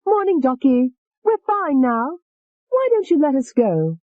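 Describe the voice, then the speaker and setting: A woman's voice: sits high, sing-song, unhurried, no room sound, narrow, tinny audio, pitched above the performer's own voice. A woman voicing a furious, vicious young woman alter who screams and taunts. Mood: taunting.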